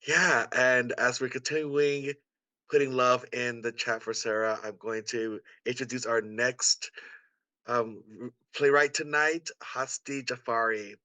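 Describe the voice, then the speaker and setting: A man's voice: mid-range voice, fairly even intonation, measured pace, pushed and bright, no room sound. A man hosting an online event in an effusive, encouraging manner. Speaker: event host. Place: indoors on a video call.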